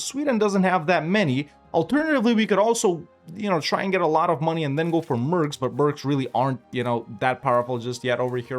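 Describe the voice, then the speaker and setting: A young man giving casual gameplay commentary, relaxed and chatty, thinking aloud with many fillers. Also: on the high side. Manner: lively and upbeat when asking viewers to like and subscribe. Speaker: gaming YouTuber.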